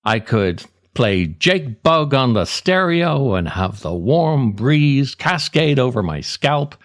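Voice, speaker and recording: A middle-aged man reading a scripted comic monologue as a podcast host, in a wry, self-deprecating way with theatrical pauses. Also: mid-range voice. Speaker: podcast host, writer reading own essay.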